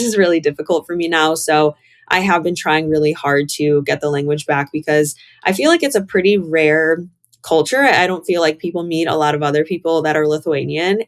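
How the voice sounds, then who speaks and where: A young American woman talking candidly and casually about herself as a podcast guest, in a reflective way. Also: low voice. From Chicago, United States. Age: young adult. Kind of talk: casual conversational interview.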